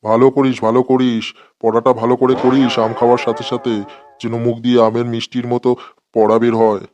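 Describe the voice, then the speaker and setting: A man's voice: medium pitch, level tone, fast, crowd noise, lightly acted. A man voicing a sarcastic, exasperated schoolteacher scolding pupils in a comedy cartoon. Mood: lecturing.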